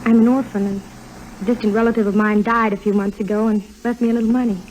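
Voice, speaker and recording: A woman's voice: mid-range voice, level tone, quick pace, a little mellow, a little hiss or hum, close to an everyday voice, rough recording. An actress playing a starry-eyed, naive nineteen-year-old American girl in a breathless, earnest way in a 1950s radio drama. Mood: earnest, confiding.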